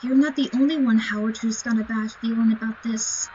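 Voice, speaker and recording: A woman's voice: fairly high pitch, fairly even intonation, brisk, dark tone. A woman giving casual commentary on a game playthrough, explaining things to viewers as she goes. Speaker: gamer narrating a playthrough. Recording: muffled lo-fi mic with clear noise.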